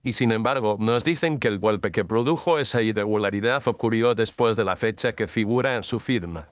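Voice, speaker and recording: A Victorian detective, played by a man in an audio mystery. The voice is on the low side, recorded very dry. He is composed and incisive, setting things out in formal, deliberate speech. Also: no echo, close to an everyday voice. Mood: probing, assured. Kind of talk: Spanish audio drama mystery acting.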